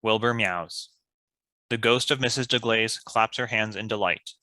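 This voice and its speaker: A man narrates scripted stage directions in a lowish, forceful voice. The sound is close and dry. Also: unhurried, dry recording.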